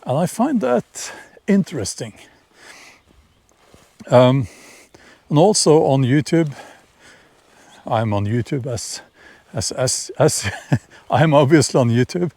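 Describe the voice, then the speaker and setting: Slow, mellow Norwegian-accented man outdoors. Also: mid-range voice, animated, vocal fry, no echo. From Norway. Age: middle-aged. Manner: halting, repeats words, chuckles, opinionated. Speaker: vlogger. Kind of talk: casual outdoor vlog monologue. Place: outdoors in nature, near horses.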